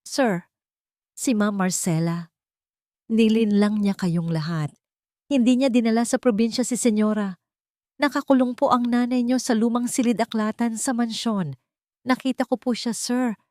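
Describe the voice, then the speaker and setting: A woman's voice: fairly high pitch, fairly even intonation, normal speed, long pauses, mellow, no echo, studio quality, pitched above the performer's own voice, natural voice. A woman voicing an anxious, loyal servant in an audio story, urgent and deferential. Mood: urgent, pleading.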